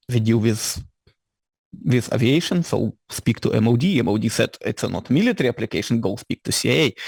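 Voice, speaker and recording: A man with a Ukrainian-Scottish accent giving a lecture as an invited engineer. He speaks in halting, slightly ungrammatical English and often restarts his sentences. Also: on the low side, vocal fry, a little mellow, dry recording. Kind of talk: lecture presentation. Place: lecture room with audience.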